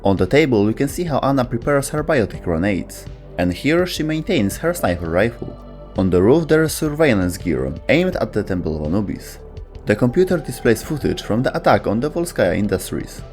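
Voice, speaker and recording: Lively-pitched man narrating game lore. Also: brisk. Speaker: video narrator. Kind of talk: scripted lore explainer narration. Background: music.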